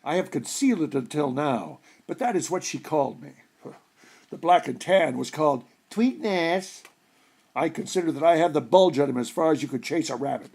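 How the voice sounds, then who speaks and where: A man reading a humorous short story aloud in an expressive storytelling style. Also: a little high, normal speed, creaky, slightly rough. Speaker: story reader.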